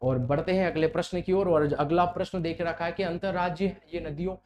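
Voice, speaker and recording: High-voiced man teaching. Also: fairly even intonation, fairly quick, darkish tone. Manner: repetitive, explanatory, questioning the students. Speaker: teacher, exam coach. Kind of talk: classroom lecture for exam preparation.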